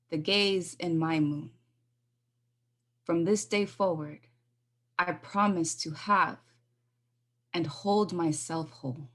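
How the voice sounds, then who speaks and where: A woman with a lowish voice reads her own poetry over a video call. Her delivery is measured and earnest, and between poems she slips into easy, casual talk. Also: fairly bright tone. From United States. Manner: measured, earnest recitation with casual spoken asides. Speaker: poet. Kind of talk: spoken word poetry reading.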